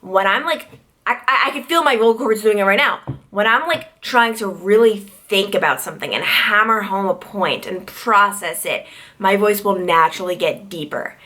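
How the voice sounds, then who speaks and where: A woman in her twenties from Long Island, New York, answering viewer questions in a casual vlog. She talks in a chatty way, full of "like", and her pitch jumps high when she gets excited. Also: normal speed. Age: 20s. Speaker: TV news reporter and vlogger.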